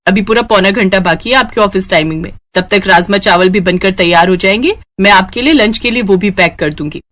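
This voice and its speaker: A woman's voice: mid-range voice, brisk, fairly bright tone, close to an everyday voice. A woman voicing a caring, affectionate young sister who scolds fondly and expressively in a dramatized family story. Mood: fondly scolding.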